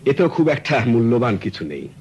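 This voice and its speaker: A man's voice: medium pitch, big pitch swings, fairly quick, darkish tone, husky, highs cut off. An actor playing a polite, earnest middle-aged client in a radio drama, explaining his story courteously and at length. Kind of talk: 1970s radio drama acting. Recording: old radio recording with faint hiss.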